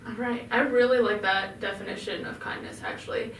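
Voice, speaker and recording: Chatty woman podcast host, mid-pitched, in an echoey room, away from the mic. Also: steady tone, a little fast, fairly bright tone, recorded from a distance, slight background noise. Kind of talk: podcast interviewing.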